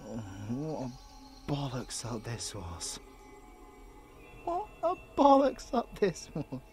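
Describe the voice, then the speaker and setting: A man's voice: mid-range voice, huge pitch swings, slow, darkish tone, faint music behind. A British man playing a mortally wounded, sleepy man fading away tenderly in an intimate audio roleplay. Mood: weak, tender.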